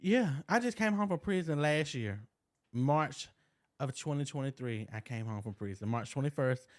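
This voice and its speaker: A man's voice: medium pitch, expressive, normal speed. A man in his mid twenties talking as a guest in a casual interview, blunt and rambling. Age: 20s. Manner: laid-back, blunt, rambling. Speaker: podcast guest.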